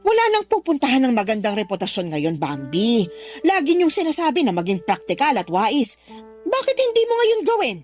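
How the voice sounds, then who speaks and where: A woman's voice: a little high, wildly swooping pitch, a little fast. An actress playing a demanding middle-aged Filipino mother who scolds and guilt-trips in a radio drama. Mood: insistent. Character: demanding, nagging mother pressuring her grown daughter. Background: background music.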